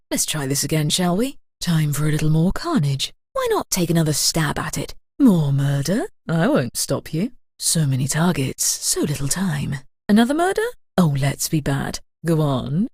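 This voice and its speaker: A woman's voice: sits low, darkish tone, husky, dry recording, clean studio sound, heavily acted. A woman voicing a sly, wicked temptress in a video game, coaxing and teasing with sinister glee. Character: sly, wicked temptress gleefully egging killers on. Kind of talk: scripted game voice lines.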